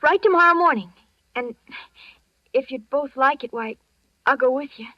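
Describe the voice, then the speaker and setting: An excitable girl next door from a 1940s-style radio drama, played by a woman. Her voice is very high, effusive and earnest. The recording is dry and lacking in treble, like an old broadcast. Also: moderate pace, no echo, lightly acted. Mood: earnest, pleading. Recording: dull, lo-fi vintage radio recording.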